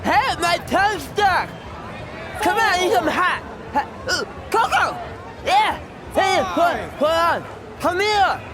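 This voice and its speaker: A man playing a frantic wrestler in a video game cutscene, shouting for help in a panic. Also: very high-pitched, very animated, slow pace, exaggerated voice. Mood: panicked. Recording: crowd noise behind.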